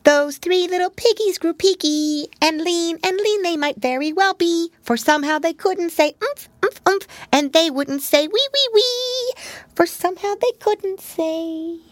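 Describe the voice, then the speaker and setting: A woman voicing a sweet, cheerful little female piglet in a storybook, high and chirpy. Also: big pitch swings, a little slow, a bit bright, no room sound, heavily acted. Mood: singing. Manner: high, chirpy, sing-song.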